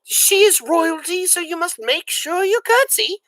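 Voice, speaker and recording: A woman reading aloud and voicing a dour, stern head servant who shouts orders. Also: very high-pitched, expressive, a little slow, no echo, voice pushed up, full character voice.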